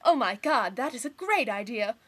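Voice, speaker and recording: A woman's voice: fairly high pitch, animated, normal speed, pushed and bright, breathy edge, heavily acted. A woman voicing a bossy, impatient young troll girl with a cruel streak, theatrical and sarcastic, in an amateur fandub. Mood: smug. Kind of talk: amateur fandub audition acting.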